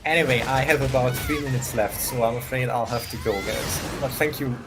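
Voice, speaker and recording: A man's voice: mid-range voice, a little fast, music in the background. A man speaking casually as a guest panelist on a livestream, over a voice call.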